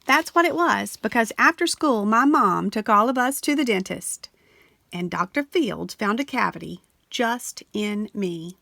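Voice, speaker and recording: A woman reads a children's storybook aloud with lively, expressive storytelling. Her voice has a gravelly edge and sits in a middle pitch, and on the repeated refrain she slows down and sinks lower. The sound is dry and close, with no room around it. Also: no echo. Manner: animated storytelling, slowing and dropping low on the refrain. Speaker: storybook reader. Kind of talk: expressive read-aloud of a children's picture book.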